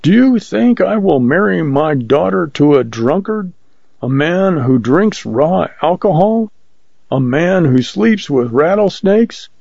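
A man's voice: on the high side, expressive, slow pace, creaky, darkish tone, dry recording, highs cut off, voice pushed up, exaggerated voice. A man voicing a drunken, blustering farmer father, indignant, as an audiobook character. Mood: outraged.

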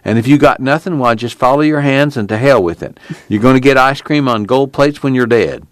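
A man voicing a weary young man in hiding, who speaks in a low, unhurried, folksy rural way. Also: no echo, natural voice. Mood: resolute. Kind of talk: audiobook character reading.

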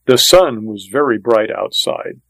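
A man's voice: on the low side, a little slow, gravelly, fairly bright tone, no room sound. Matter-of-fact male western narrator, dry sound. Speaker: audiobook narrator. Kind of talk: first-person western story narration, scripted.

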